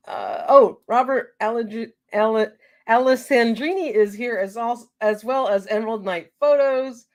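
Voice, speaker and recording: A woman's voice: fairly high pitch, slow, pauses often, a little mellow. A woman hosting a live show in casual chat, a little congested from a cold, laughing easily as she reads out viewer names.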